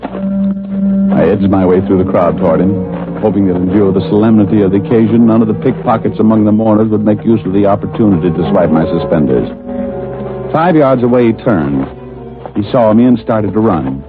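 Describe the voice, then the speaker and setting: An actor playing a stubborn, sardonic private detective with dry, flippant delivery in old-time radio style. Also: male voice, lowish voice, expressive, brisk, close to an everyday voice. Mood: wry. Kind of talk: 1940s-50s radio detective acting. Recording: tinny old radio, music behind.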